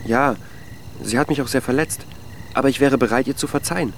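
A man's voice: medium pitch, fairly quick, slight background noise, lightly acted. An actor playing a needy, insecure, lovelorn man who dithers and pleads in a naturalistic radio drama. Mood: hurt, hopeful.

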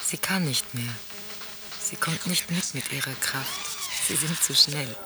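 A man's voice: on the high side, measured pace, noisy background, lightly acted. An actor playing an obsessive man in a radio drama, delivering a feverish monologue in intense, repetitive phrases.

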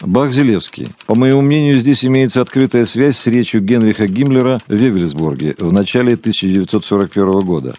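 Man, lowish soft-edged dark voice, documentary narrator, formal, measured, matter-of-fact reading. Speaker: documentary narrator of a radio play.

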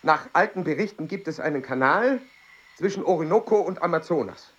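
An actor playing an earnest, formal explorer-scientist in a radio play, flustered and stiff in manner. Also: male voice, on the high side, lively intonation, a little fast, a bit bright, muffled recording. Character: earnest, driven male explorer-scientist, adult. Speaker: lead character in a radio play. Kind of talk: 1990s-2000s German radio drama acting.

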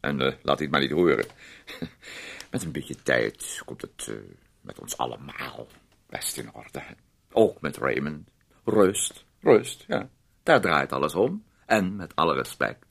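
Radio play manipulator, fussy fake-caring man, husky voice that swings all over, condescending and insinuating. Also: rumbling low, sing-song, measured pace, heavily acted. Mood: smooth, confiding. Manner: insinuating, condescending, wordy, tag questions.

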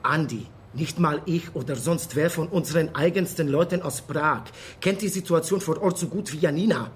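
An actor playing an insistent, calculating Czech activist who mangles idioms, with a Czech accent, in a radio drama. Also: male voice, a little high, moderate pace, slight background noise, lightly acted. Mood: pressing, pragmatic.

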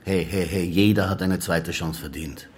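An actor playing a weary middle-aged police inspector in a radio crime drama, with an Austrian accent and muttered sardonic asides. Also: male voice, deep voice, steady tone, moderate pace, a little hiss or hum, natural voice. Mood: brisk, commanding.

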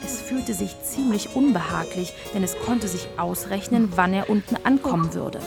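A woman narrating a scripted children's story in a measured, clearly articulated storytelling manner. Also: mid-range voice, music in the background.

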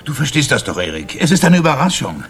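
A pushy, husky bohemian painter. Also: male voice, mid-range voice, big pitch swings, fast talker, fairly bright tone, heavily acted. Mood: insistent. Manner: insistent, familiar. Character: pushy, possessive male painter in bohemian Paris. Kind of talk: German radio drama acting.

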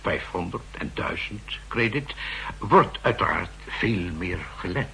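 An actor playing a fussy, insistent central-bank expert who explains pedantically, in 1960s radio drama style. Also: male voice, sits low, expressive, measured pace, creaky, sharp tone, heavily acted. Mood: earnest, explaining. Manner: pedantic, emphatic, hesitating and repeating himself. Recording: noisy vintage 1960s radio recording.

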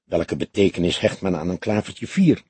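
A man's voice: low-pitched, expressive, moderate pace, darkish tone, slightly rough, no room sound, pitched below the performer's own voice, heavily acted. A man voicing a composed, proud and stern newly widowed woman in an audiobook crime story. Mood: guarded.

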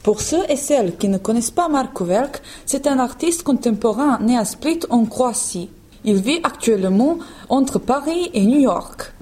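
A woman co-presenter reading a clear, informative introduction on the radio. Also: a little high, animated, moderate pace, mellow. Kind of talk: read radio narration.

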